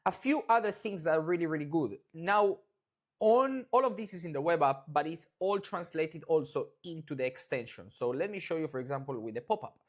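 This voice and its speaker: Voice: medium pitch, animated, brisk. Adult presenter, Argentine Spanish accent, mid-range voice, enthusiastic, informal, casual product demo delivery. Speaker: product maker presenting software updates.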